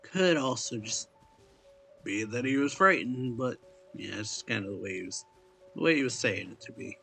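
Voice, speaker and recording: A man hosts a casual gaming playthrough in a medium-pitched voice. He talks informally, with short muttered reactions, and soft game music plays behind him. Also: lively intonation, normal speed, creaky, breathy edge. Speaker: gaming video host. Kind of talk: casual let's-play reaction commentary.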